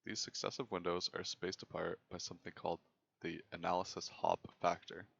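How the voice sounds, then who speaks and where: A young man narrates a technical presentation in a very low voice with a lot of fry. His delivery is measured and formal, and the recording sounds slightly dull and lo-fi. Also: little pitch movement, fairly bright tone. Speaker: presenter of a student software project.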